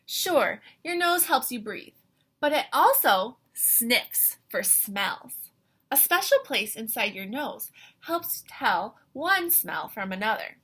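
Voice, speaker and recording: A woman reading a picture book aloud to young children like an excited teacher, with animated wonder, questions and asides in a breathy voice. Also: a little high, takes it slowly.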